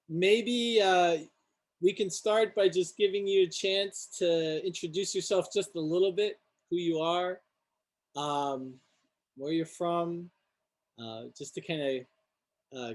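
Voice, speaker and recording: A man's voice: sits high, slow pace. A middle-aged man hosting an alumni interview on a video call, friendly and hesitant with many fillers. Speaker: host, architecture professor. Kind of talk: interview host.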